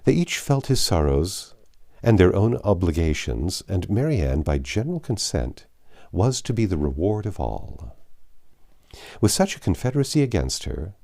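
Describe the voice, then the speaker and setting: A man narrating a classic novel aloud as an audiobook reader, with measured, expressive storytelling phrasing. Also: low-pitched, vocal fry.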